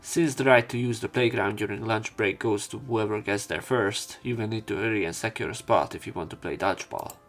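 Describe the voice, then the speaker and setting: A man's voice: fairly low pitch, a little fast, few pauses. A man narrating a let's play, reading visual novel narration and dialogue aloud. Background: game music.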